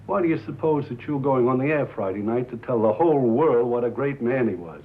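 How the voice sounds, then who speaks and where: An actor playing a mild, earnest small-town station owner, halting and formal, as if confessing, in a 1950s film drama. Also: male voice, medium pitch, animated. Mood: quietly challenging. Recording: muffled, hissy 1950s film soundtrack.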